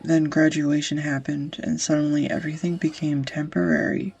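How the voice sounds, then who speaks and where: A young woman acting a tender, easily flustered sweetheart in an intimate romantic audio roleplay, with a husky, breathy, hesitant voice. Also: low-pitched, flat delivery, normal speed, darkish tone, heavily acted. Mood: tender, wistful. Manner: hesitant, confessional, breaking into whispers.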